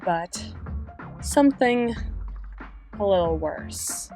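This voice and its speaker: A woman playing a wistful, guarded female half-spider bouncer in an intimate roleplay monologue, musing with dramatic pauses and soft trailing phrases. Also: on the high side. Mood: hurt, reflective. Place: close-miked recording with music playing behind.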